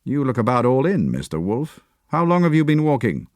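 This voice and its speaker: A man's voice: medium pitch, very animated, brisk, darkish tone, no echo, pitched above the performer's own voice, close to an everyday voice. A man voicing a hearty British army captain who talks in a clipped, commanding way. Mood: bluff.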